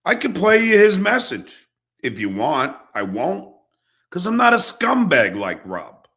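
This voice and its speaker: A man rants angrily and profanely in a confrontational, emphatic way. His voice sits fairly high and is forceful and sharp, with a creaky edge. He leaves dramatic pauses between phrases, as if addressing a rival directly. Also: huge pitch swings. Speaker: online show host. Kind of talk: angry personal rant addressed to an absent rival.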